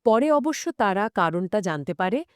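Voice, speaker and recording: A woman's voice: medium pitch, sing-song, moderate pace, a little mellow, dry recording, clean studio sound. A woman co-hosting a conversational story discussion, retelling events dramatically and asking inquisitive questions. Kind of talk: scripted-sounding conversational storytelling.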